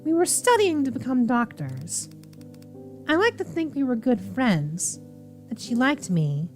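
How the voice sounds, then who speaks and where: A woman voicing a soft-spoken, coldly obsessive young female killer from a visual novel, measured and sinister. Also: on the high side, very animated, soft background music. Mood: cold, menacing. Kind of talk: visual novel character reading.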